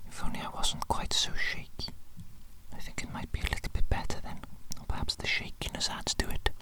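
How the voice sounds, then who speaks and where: A performer voicing an eccentric, bossy evil doctor in a breathy whisper for an ASMR medical roleplay, theatrical and mock-formal, repeating phrases. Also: brisk, lightly acted. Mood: fascinated, musing. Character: eccentric evil doctor, bossy, easily offended, gleefully fascinated.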